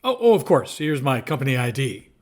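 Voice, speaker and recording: A man's voice: medium pitch, big pitch swings, brisk, heavy background noise, natural voice. A man playing an inquisitive, skeptical undercover reporter in a radio drama, wry and a bit hesitant. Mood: flustered, curious.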